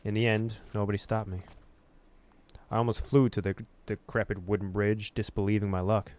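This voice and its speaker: A man reading a horror visual novel aloud as a gaming host, with expressive storytelling and casual delivery. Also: fairly low pitch, normal speed.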